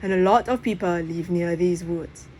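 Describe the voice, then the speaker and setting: A woman's voice: fairly low pitch, normal speed, darkish tone, poor audio. Woman reading a story over background noise. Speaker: audiobook reader. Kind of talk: expressive storybook narration.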